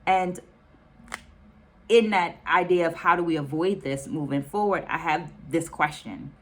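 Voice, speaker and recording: Female educator-host, low register, thoughtful direct-to-viewer talk, deliberate phrasing with repetition for emphasis. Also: a little hiss or hum. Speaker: educator and video host presenting book takeaways. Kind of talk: reflective talk to camera for an online video.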